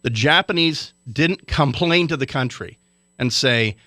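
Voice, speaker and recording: A man's voice: medium pitch, animated, a little slow, pushed and bright, no echo, clean studio sound. A man from Hawaii delivering an indignant, lecturing radio commentary rant.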